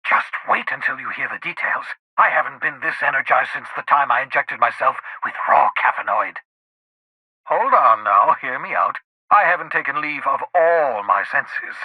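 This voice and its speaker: An actor playing an eccentric, excitable fugitive scientist in a video game, an idealistic schemer who speaks in a theatrical, articulate and emphatic way. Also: male voice, mid-range voice, normal speed, husky, no echo, heavily acted, low-quality recording. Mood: excited. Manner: theatrical, articulate, emphatic, swinging between fervour and muttered asides. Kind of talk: video game character dialogue.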